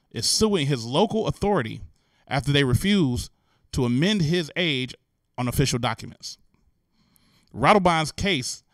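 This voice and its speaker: A man hosting a show, giving animated, incredulous, joking and slangy commentary on the news. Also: mid-range voice, no room sound, clean studio sound. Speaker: host. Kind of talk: comic commentary on news stories.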